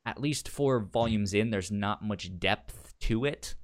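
A man hosting a casual book discussion show, talking informally and haltingly with a stutter that repeats sounds and syllables. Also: lowish voice. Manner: halting, informal, thinking aloud. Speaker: podcast host.

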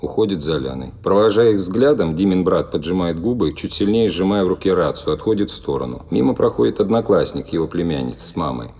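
A man's voice: low voice, expressive, fast talker, hardly any pauses, mellow. A man narrating an audio play from a written script.

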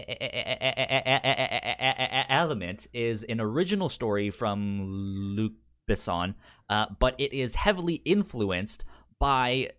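Male film-podcast co-host, slightly deep voice, conversational, hesitant, with a clear repeating stutter. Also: fairly bright tone. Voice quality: pronounced stutter with repeated sounds and syllables. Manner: halting, hesitant delivery. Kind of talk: casual film discussion.

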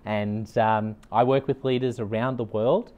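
A man's voice: lowish voice, fairly even intonation, measured pace, phone-quality sound. A middle-aged Australian man hosting a leadership podcast, speaking earnestly and upbeat, and repeating key points for emphasis. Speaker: leadership consultant and podcast host. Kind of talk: direct-to-camera motivational talk.

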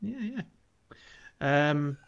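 A man's voice: a little high, very animated, drawn-out words, gravelly, highs cut off. A man co-hosting a game podcast, easygoing and agreeable, chuckling as he talks. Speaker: podcast co-host. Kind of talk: casual chat about a video game.

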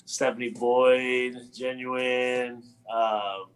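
A man's voice: mid-range voice, level tone, very slow, smooth, rough recording. A man from Florida, a musician guest joining over a video call, talking in a laid-back way with easy laughs. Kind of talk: casual interview. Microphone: remote video call.

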